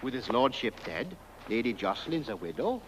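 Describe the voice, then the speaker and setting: An actor playing an excitable, deferential village constable with a British accent and a rambling, folksy way of talking. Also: male voice, mid-range voice, normal speed, slight background noise, lightly acted. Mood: excited, rambling. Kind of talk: 1970s horror comedy film acting. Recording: muffled old film soundtrack.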